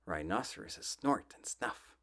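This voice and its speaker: A soft-spoken man reading children's books aloud in a gentle, playful ASMR style, often dropping close to a whisper. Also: lowish voice, animated. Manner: gentle, drawn-out, playful storybook delivery.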